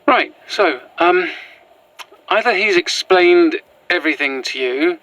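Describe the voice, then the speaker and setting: A woman's voice: low voice, a bit bright, no echo, low-quality recording. A woman with a British accent playing an anxious, earnest former teacher on the run in a horror audio drama, hesitant and apologetic.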